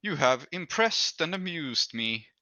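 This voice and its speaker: A man voicing an amused, imperious aristocratic patron in a drawn-out, pompous manner for a tabletop game. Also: a little high, sing-song, bright, forceful tone, dry recording, voice pushed up, heavily acted. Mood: pleased, grand. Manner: slow, drawn-out, pompous delivery.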